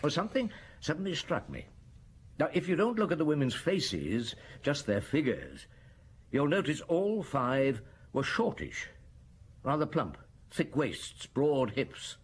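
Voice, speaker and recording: An actor playing a patient, methodical middle-aged police inspector in a radio drama, reasoning aloud. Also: male voice, on the high side, huge pitch swings, a little slow, husky, heavily acted. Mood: deliberate. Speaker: detective.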